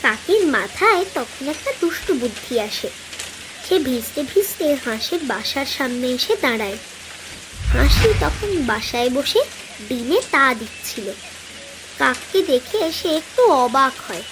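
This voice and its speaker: A woman's voice: high-pitched, sing-song, a little slow, background noise. A woman reading scripted narration for a children's cartoon story.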